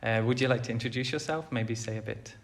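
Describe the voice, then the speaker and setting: A man with a mid-range voice hosting a relaxed podcast. He asks short questions and encourages his guest. He speaks in a large room. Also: medium pitch, fast, vocal fry. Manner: friendly, encouraging, brief prompts. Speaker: podcast host. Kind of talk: casual podcast interviewing.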